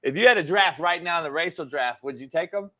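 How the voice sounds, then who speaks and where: A man's voice: fairly high pitch, fast talker, sharp tone. A man in his forties co-hosting a casual sports talk show, needling his partner and repeating himself for emphasis. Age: 40s. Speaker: co-host. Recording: slightly dull lo-fi sound.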